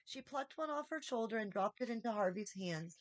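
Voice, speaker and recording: A woman reading a children's story aloud as an expressive audiobook narrator. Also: a little high, fairly quick, no echo, rough recording. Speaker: audiobook reader, channel host.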